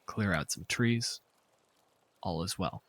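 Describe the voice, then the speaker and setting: A man's voice: deep voice, slow pace, fairly bright tone. A man speaking casually as a game master, narrating scenes off the cuff with some profanity.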